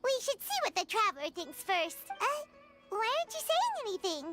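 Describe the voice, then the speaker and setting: A woman's voice: extremely high pitch, wildly swooping pitch, a little fast, a bit bright, faint music behind, exaggerated voice. A woman voicing a tiny, cheeky, boastful girl companion in a video game, with an exaggerated cutesy delivery. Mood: flattered, eager. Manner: refers to herself in third person, exaggerated cutesy delivery. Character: tiny childlike female companion, cheeky, boastful, food-loving. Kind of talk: animated video game voice acting.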